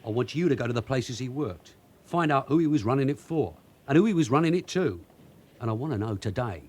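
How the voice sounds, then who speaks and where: An actor playing a bossy, streetwise long-serving detective sergeant in a radio drama, with a London accent and a brisk, clipped, commanding manner. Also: male voice, medium pitch, expressive, a little mellow, breathy edge. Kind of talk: naturalistic British radio drama acting.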